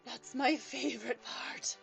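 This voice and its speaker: A woman playing a haughty, commanding cyborg clown queen in a comic sci-fi radio play, with theatrical, drawn-out delivery. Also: sits high, steady tone, gravelly, music in the background, exaggerated voice. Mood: smugly gracious.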